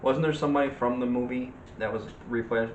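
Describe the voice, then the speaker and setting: A man's voice: medium pitch, fairly even intonation, brisk, muffled recording, a loud crowd. A man chatting casually about movies as a co-host. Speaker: co-host of a film discussion show. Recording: echoey room, off mic, faint noise.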